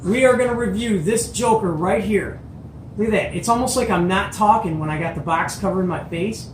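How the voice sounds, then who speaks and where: A man's voice: high-pitched, fairly quick, a little hiss or hum, low-quality recording. A man hosting a casual radio review, talking in an enthusiastic, folksy, rambling way while filming at home on a handheld camera mic. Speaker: host of a CB radio review channel. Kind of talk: casual product review and unboxing.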